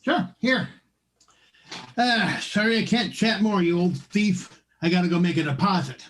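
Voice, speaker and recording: A man's voice: high-pitched, a little slow, fairly bright tone, slight background noise. An actor playing a forgetful, bumbling old uncle in a radio play, muddled and hesitant in his talk. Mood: gleeful, taunting. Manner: muddled, hesitant, muttering to himself.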